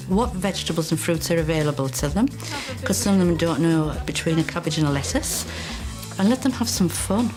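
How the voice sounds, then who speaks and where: A woman teacher who runs a school cooking club, answering interview questions earnestly and plainly. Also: lowish voice. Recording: music playing behind.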